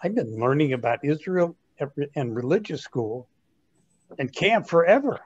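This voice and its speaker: A man acting as a doubtful teenage boy with a very animated, swinging pitch. He asks things hesitantly in a staged read-through dialogue, and the sound is dull, like a lo-fi video call. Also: normal speed, husky. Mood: thoughtful, questioning. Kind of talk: amateur reader's theatre dialogue over video call.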